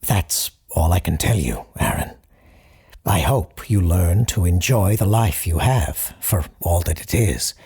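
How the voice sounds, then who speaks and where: Slow, husky, solemn radio parapsychologist. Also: male voice, sits low, expressive, mellow, full character voice. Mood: firm, solemn. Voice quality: husky, gravelly. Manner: formal, deliberate, halting pauses. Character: solemn, measured male parapsychologist hosting a late-night call-in radio show. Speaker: radio call-in host. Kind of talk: scripted audio drama, deliberate radio monologue.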